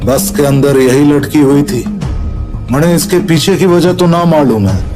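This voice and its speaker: A man's voice: fairly high pitch, a little slow, music in the background, natural voice. A man playing a sly, fearless village man with a taunting manner, in a horror audio drama. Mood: knowing, grave.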